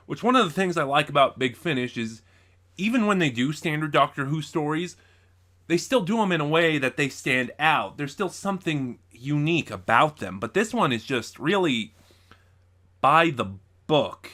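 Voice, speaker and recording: A man's voice: on the high side, animated, normal speed, sharp tone. A man hosting a casual review video, speaking in a conversational, opinionated way straight to the audience. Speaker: video reviewer and channel host.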